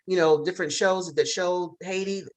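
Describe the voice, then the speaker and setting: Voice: medium pitch, steady tone, moderate pace. Upbeat interview host. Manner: upbeat, gives frequent short encouraging reactions. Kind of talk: casual interview hosting.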